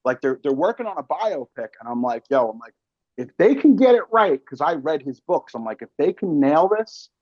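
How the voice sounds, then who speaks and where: High-voiced man swapping stories, slightly dull sound. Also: fairly quick, dry recording. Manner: animated storytelling, peppered with slang, swearing and quoted asides. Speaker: podcast host. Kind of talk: casual podcast chat.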